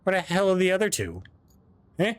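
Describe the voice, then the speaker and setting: A man's voice: sits high, sing-song, fairly quick, dry recording. A man hosting a gaming channel, giving casual commentary over his gameplay, rambling, talking to himself and mocking himself, often trailing into mumbles and humming as he plays.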